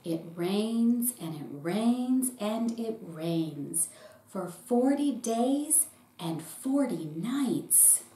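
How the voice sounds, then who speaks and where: A middle-aged woman, a pastor, reading a children's picture book aloud in short, deliberate phrases. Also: a little high, lively intonation, warm tone. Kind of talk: storybook read-aloud for children. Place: small indoor room with bookshelf. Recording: roomy echo with faint background noise.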